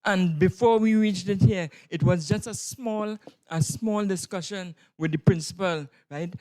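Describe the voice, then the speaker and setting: A man's voice: high voice, a little slow, dark tone. A man with a Trinidadian accent answering interview questions as a drama tutor, emphatically and in short bursts.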